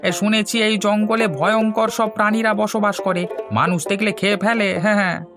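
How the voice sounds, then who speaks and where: A man's voice: high voice, fairly even intonation, normal speed. A man voicing a concerned villager who gives cautions in a cartoon fairy tale. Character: concerned villager warning a youngster in the forest. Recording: music bed, plucked strings.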